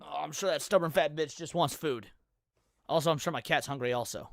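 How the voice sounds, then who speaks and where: A man's voice: a little high, a little fast, gravelly, a bit bright, dry recording, clean studio sound. A man hosting a livestream, giving casual, profane reaction commentary with muttered asides and some reading aloud. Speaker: livestreamer host. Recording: music behind the voice.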